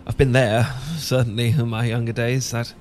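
A man's voice: mid-range voice, level tone, moderate pace, a little mellow, slight background noise, natural voice. A man with a British accent playing an awkward, sentimental English professor in a romantic ASMR roleplay, stammering and hesitant. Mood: wistful. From Britain. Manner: stammering, hesitant, full of false starts. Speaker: audio roleplay performer.

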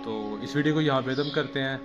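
A man's voice: mid-range voice, fast, highs cut off, music in the background. A man in his thirties, chats casually to viewers while doing rooftop chores in his village, filming on a phone at arm's length. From Pakistan. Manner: chatty, explains to viewers as he works, teasing. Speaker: village vlogger. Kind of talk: casual vlog narration to camera. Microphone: phone camera at arm's length. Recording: noisy outdoor phone audio.

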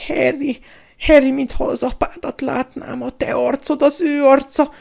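A man's voice: extremely high pitch, flat delivery, vocal fry, darkish tone, voice pushed up, heavily acted. A man voicing a cowardly, snivelling middle-aged traitor in an audiobook, whining and pleading in a wheedling, grovelling way. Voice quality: whining, whimpering. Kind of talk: audiobook character acting.

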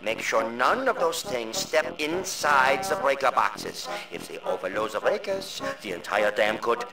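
Gravelly, stern dam supervisor. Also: male voice, medium pitch, sing-song, a little slow. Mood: uneasy. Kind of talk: video game cutscene acting. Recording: roomy, distant, music behind.

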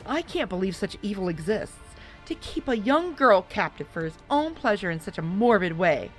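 A woman voicing a captive succubus, pleading and breathy in manner. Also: medium pitch, big pitch swings, normal speed, faint music behind, natural voice. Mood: indignant.

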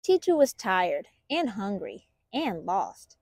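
A woman reads a picture book to children in a soft-edged, mellow voice. Her delivery is unhurried and very lively in pitch, with the deliberate phrasing of a storyteller. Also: no room sound, clean studio sound. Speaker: storytime host reading aloud.

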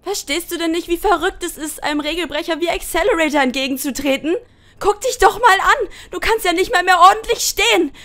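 High-pitched anxious teenage girl, acted by a woman. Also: brisk, sharp tone. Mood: desperate, shouting. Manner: emotional, pleading. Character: anxious, hot-tempered teenage girl with psychic powers. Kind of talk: dramatic anime dub acting. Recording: fan dub with music underneath. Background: background music.

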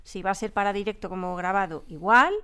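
A woman's voice: medium pitch, fast talker, bright, forceful tone, clean tone. A woman with a Spanish accent from Spain talking casually in an interview, explaining emphatically and repeating words as she searches for phrasing. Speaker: audio drama producer and sound designer, interview guest. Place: radio drama studio.

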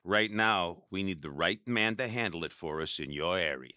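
An actor playing a cruel, gloating mob enforcer in a radio drama, menacing and taunting. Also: male voice, low-pitched, animated, moderate pace, pushed and bright, no room sound, clean studio sound. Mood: cold.

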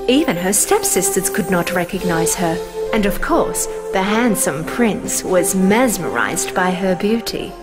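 A woman's voice: medium pitch, sing-song, measured pace, over loud music. A woman narrating a scripted fairy tale as a storyteller.

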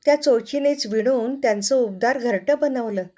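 A woman's voice: fairly high pitch, normal speed, mellow, lo-fi sound, pitched above the performer's own voice, natural voice. A woman voicing small, well-meaning birds in a children's storybook tale, giving earnest and chirpy advice. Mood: earnest advising.

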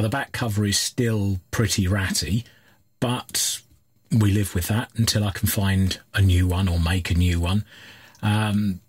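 A man's voice: sits low, husky. A man in his thirties casually chatting while repairing an old radio at his cluttered electronics workbench, rambling with fillers and restarts.

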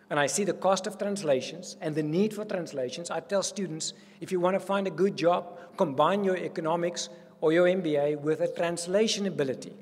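An older man from South Africa with an Afrikaans-accented voice, giving an earnest, animated welcome speech as a university provost at a podium microphone. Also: a little high. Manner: earnest and animated, gesturing widely. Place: reception hall with curtains. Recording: long hall reverb.

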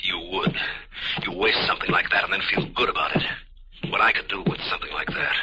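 An actor playing a bitter, selfish telepath, sneering and defiant in an old-time radio drama. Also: male voice, low voice, steady tone, quick pace, background noise, natural voice. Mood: scornful. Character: bitter, selfish, scornful male telepath turning dangerous. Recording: dull vintage radio recording, loud music.

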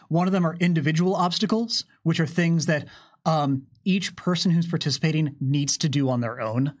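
Higher-pitched man game mastering. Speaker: game master explaining rules. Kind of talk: conversational tabletop game narration and rules explanation. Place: small curtained studio, indoors. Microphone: microphone on desk boom arm.

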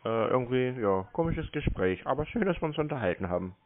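A man on a phone call, playing a slow customer, obtuse, grumbling and argumentative. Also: medium pitch, lively intonation, slight background noise. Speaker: scambaiter posing as a victim on a phone call.

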